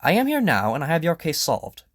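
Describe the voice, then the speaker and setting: A confident, incisive detective acted by a man from an audio drama. He speaks in a raised, very animated voice with a commanding, probing edge. The delivery is theatrical and declarative, recorded close and dry. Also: a little high, a little fast, no echo, pitched above the performer's own voice. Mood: confident, expository.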